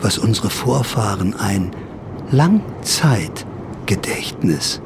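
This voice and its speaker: Creaky, theatrical sly storytelling thief. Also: male voice, lowish voice, very animated, slow, background noise, full character voice. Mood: mocking. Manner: theatrical, wry, elaborate storytelling. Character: sly, theatrical male typewriter thief telling his story. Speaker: first-person narrator and lead of a radio drama. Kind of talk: dramatic scripted narration, radio play.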